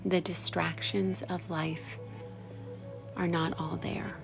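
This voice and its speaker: A woman guides a meditation in a very slow, lowish voice. She speaks softly in short phrases with long pauses, letting the endings go whispery and creaky. Music plays clearly behind her. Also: flat delivery. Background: background music.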